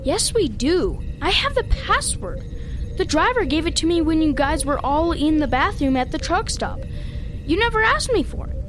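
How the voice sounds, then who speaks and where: A woman as a very high-voiced, hapless little boy. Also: sing-song, moderate pace, a bit bright, soft background music, heavily acted. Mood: matter-of-fact. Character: whiny, literal-minded young boy, the hapless brother. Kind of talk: family comedy radio play.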